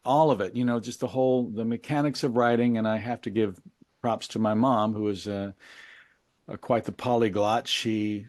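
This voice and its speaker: Low-voiced man, musing and close-sounding. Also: fairly even intonation, a little mellow, dry recording. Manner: musing, halting, colloquial. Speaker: interview guest, musician and memoir author. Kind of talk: reflective conversational interview.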